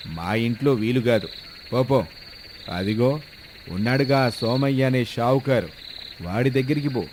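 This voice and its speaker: A man's voice: medium pitch, unhurried, a little mellow, slight background noise, close to an everyday voice. A man playing an arrogant, stingy landlord who berates people haughtily in a cartoon moral story. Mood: angry, dismissive. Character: arrogant, stingy, scolding rich male landlord.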